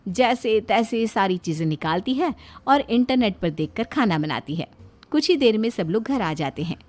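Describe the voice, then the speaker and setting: Mid-pitched woman telling a story. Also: animated, moderate pace, a little mellow. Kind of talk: scripted story narration.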